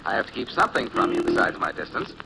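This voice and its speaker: Through a noisy old radio recording comes a breezy male sleuth, teasing and wry. His voice is lowish and swings in a lively way, like classic 1940s detective radio. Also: fast, vocal fry, heavily acted. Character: breezy wisecracking male amateur sleuth, skilled lock picker.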